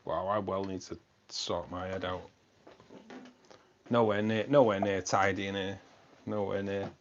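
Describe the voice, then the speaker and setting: A man's voice: sits low, level tone, measured pace, muffled recording, a little hiss or hum. A man hosting a live stream from a room with fish tanks, chatting casually and rambling, often repeating himself.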